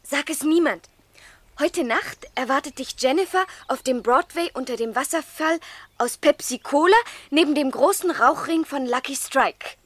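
High-voiced, playful young sweetheart. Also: female voice, moderate pace, fairly bright tone, no room sound. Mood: playful, secretive. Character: tender, playful young woman in love. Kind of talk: literary German radio play.

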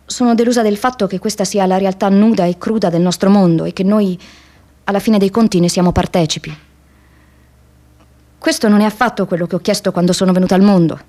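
A woman's voice: medium pitch, steady tone, fairly quick, warm tone, close to an everyday voice. An actress playing an idealistic, disillusioned young woman activist in a radio theatre monologue, speaking in an earnest, reflective, confiding way. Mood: disillusioned, determined.

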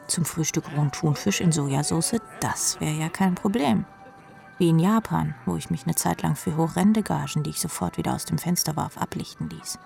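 A woman's voice: on the low side, vocal fry, darkish tone. A woman playing a jaded, sardonic rock drummer who narrates her own life drily in a radio play. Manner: dry, sardonic. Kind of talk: scripted first-person radio drama narration.

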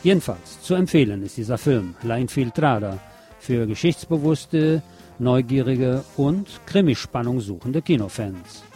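A man's voice: medium pitch, lively intonation, unhurried, warm tone, faint music behind. A man presenting a scripted film review as a radio host.

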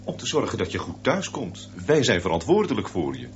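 A man's voice: lowish voice, wildly swooping pitch, fairly quick, dull audio, soft background music. An actor in a Dutch radio drama playing a mild middle-aged father who reasons protectively and reassures. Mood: placating. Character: mild, placating, protective father of a teenage boy.

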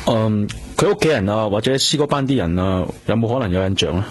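Low-voiced Hong Kong police detective, played by a man. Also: lively intonation, fast talker, close to an everyday voice. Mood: polite, probing. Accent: Hong Kong Cantonese. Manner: polite, hesitant, conversational questioning. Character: earnest male police detective, self-reproaching, gentle with companion. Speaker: actor in a radio drama. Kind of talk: naturalistic radio drama dialogue. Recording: clear background noise with hum.

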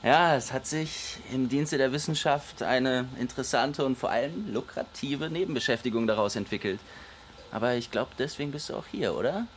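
An actor playing an easygoing young lab researcher who deals on the side, talking casually in a naturalistic radio drama. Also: male voice, medium pitch, moderate pace, slight background noise, close to an everyday voice. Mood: teasing, guarded. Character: easygoing young male lab researcher dealing homemade speed. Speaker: research assistant.

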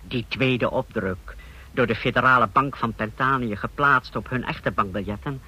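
A dictator's scheming aide with a crisp-edged, bright voice at middle pitch. He explains with formal precision and deference, in the manner of radio drama. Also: normal speed, poor audio. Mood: formal, self-assured. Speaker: actor in a radio play. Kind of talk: Dutch radio drama acting.

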